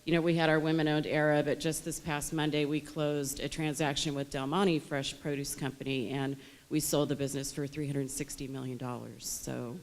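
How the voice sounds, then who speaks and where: A middle-aged woman with a notably low voice speaks as a business owner on a panel. Her delivery is brisk and upbeat. She keeps a plain, practical tone. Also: little pitch movement. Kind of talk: panel talk.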